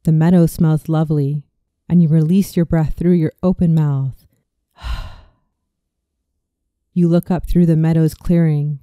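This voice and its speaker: Very mellow, dark-voiced meditation guide in their thirties. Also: mid-range voice, level tone, no echo, clean studio sound. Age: 30s. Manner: soothing, slow, deliberate meditation delivery. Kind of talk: scripted guided visualization.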